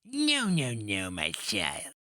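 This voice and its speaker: A man's voice: lowish voice, huge pitch swings, takes it slowly, sharp tone, dry recording, studio quality, full character voice. A man voicing a mocking, cajoling old witch of a grandmother in a dramatic fantasy story reading.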